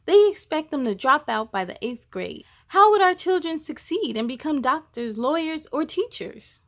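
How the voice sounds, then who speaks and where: Woman narrator as earnest father, persistent, insistent, higher-pitched read, children's storybook. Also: lively intonation, normal speed, a bit bright. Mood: concerned, frustrated.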